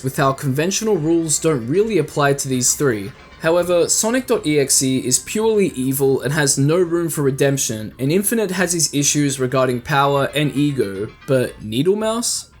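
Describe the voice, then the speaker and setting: A man hosts a scripted video essay and reads it in a conversational way, tossing in playful asides. His voice sits a little high for a man. Faint music plays underneath. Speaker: YouTube host.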